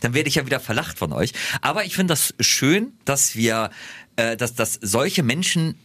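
A man's voice: mid-range voice, sing-song, normal speed, a bit bright, no echo. Young guy cohosting a podcast, all fired up, keeps restarting, dry close mic. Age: young adult. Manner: enthusiastic, stumbling restarts. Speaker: podcast co-host. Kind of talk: casual chat.

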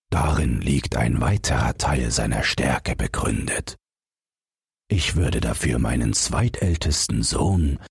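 A man voicing a courteous, coaxing fairy tale king in a storybook reading, husky and gravelly, with a measured courtly manner. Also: a bass voice, no echo, studio quality, pitched below the performer's own voice, exaggerated voice.

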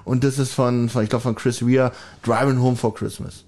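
A man's voice: mid-range voice, level tone, normal speed, darkish tone. A man chatting casually as a podcast host. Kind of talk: casual chat.

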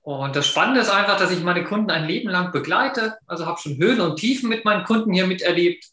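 Bright, high-voiced man in an echoey room. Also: fast. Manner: enthusiastic, talkative. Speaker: financial advisor. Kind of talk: casual chat.

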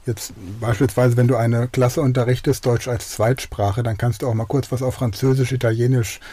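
A man's voice: mid-range voice, level tone, moderate pace, warm tone. A middle-aged German man hosting his podcast and telling anecdotes enthusiastically in an interview. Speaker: podcast host and learning coach. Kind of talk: podcast intro and radio interview.

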